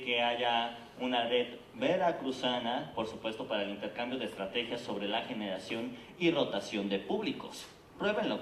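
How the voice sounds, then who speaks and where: A man's voice: mid-range voice, fairly bright tone, slight background noise. A man with a Mexican Spanish accent giving a persuasive presentation at a theater congress, often checking in with the audience. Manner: earnest, persuasive, frequent "¿no?" and "¿de acuerdo?". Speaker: conference speaker presenting a theater project. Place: conference hall. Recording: echoey hall, voice from a distance.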